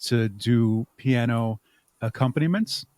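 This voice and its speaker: A man with a medium-pitched voice talks casually as an interview guest. He rambles through his explanations and is prone to chuckle. The sound is dry, with little room in it. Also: a little slow, darkish tone, no room sound. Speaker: podcast guest and audio drama creator.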